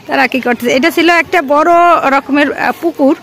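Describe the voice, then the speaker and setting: A woman's voice: sits high, brisk, a bit bright. A woman with a Bangladeshi accent giving casual vlog commentary outdoors and chatting to children as she goes. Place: outdoors on open grassy ground by a school. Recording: outdoor ambient noise, faint.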